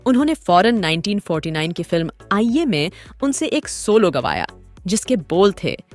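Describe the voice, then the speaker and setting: A woman's voice: medium pitch, sing-song, normal speed, a little mellow. A woman hosting and narrating a scripted documentary story in a dramatic, literary storytelling manner. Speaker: host and narrator. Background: background music.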